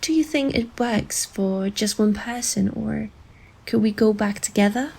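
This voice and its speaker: An actress as a playful, curious young woman in a dreamy romantic audio drama. She teases in an unhurried way, with a creaky edge to her voice. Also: mid-range voice, darkish tone. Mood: teasing, curious. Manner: teasing, playful.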